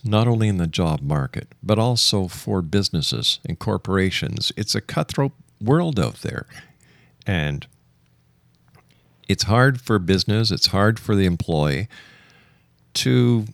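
Low, gravelly radio host. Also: male voice, no echo. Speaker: radio talk show host. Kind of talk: radio interview, conversational monologue.

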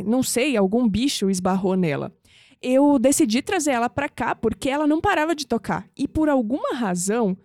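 A woman playing a young, clumsy, curious granddaughter in an audio drama, expressive, with a Brazilian accent. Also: sits high, big-room sound. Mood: excited, curious. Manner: expressive, musing aloud to herself.

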